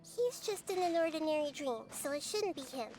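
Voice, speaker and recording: A wise little girl deity in a game, with a high, light, childlike voice. She explains things gently and with composure while music plays behind her. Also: animated, moderate pace. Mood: thoughtful. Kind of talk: video game voice acting.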